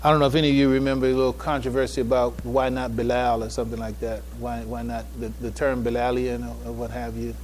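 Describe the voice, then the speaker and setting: A man giving a public address as a presenter, speaking in a halting way with repeated phrases and restarts. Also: medium pitch, little pitch movement, a little mellow, slight background noise. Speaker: conference speaker presenting a paper. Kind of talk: public address, informal preamble then reading a paper aloud. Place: public event before an audience.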